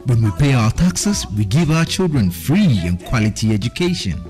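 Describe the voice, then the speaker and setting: Earnest male announcer with a very animated pitch. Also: moderate pace, faint music behind. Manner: earnest, persuasive appeal. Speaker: public service ad narrator. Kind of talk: scripted public service announcement.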